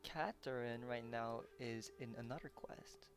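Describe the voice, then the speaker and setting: A woman's voice: very deep voice, measured pace. A woman game streamer giving casual, informal commentary, reacting aloud in short phrases. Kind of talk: casual livestream gameplay commentary. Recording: livestream voice under loud game music.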